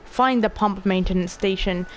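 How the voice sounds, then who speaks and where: A woman in her late teens with a stuffy, sniffly voice chats through a game stream. She is excitable and offhand, swearing casually and talking straight to her viewers at an ordinary pitch, with a faint hiss behind. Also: moderate pace. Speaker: gaming streamer. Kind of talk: casual let's-play commentary.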